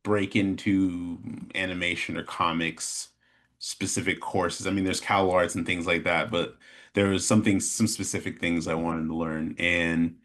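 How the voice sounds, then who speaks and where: A man with an American accent chatting casually as an interview guest, in a rambling, halting way. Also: low-pitched, flat delivery, a bit bright. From Chicago, American Midwest. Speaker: interview guest, animation studio founder. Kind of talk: casual chat, telling his story.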